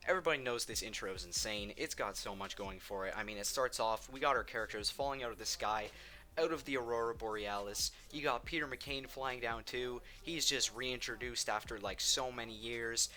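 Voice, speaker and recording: A man's voice: medium pitch, normal speed, pushed and bright. A man giving casual, opinionated countdown commentary on video games, enthusiastic and emphatic, repeating words for stress. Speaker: video game ranking host.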